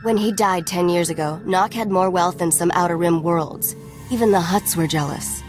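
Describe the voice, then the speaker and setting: A woman's voice: lowish voice, moderate pace, soft background music, natural voice. A woman playing a shrewd, self-assured young smuggler in a video game, cool and wry. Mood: persuasive.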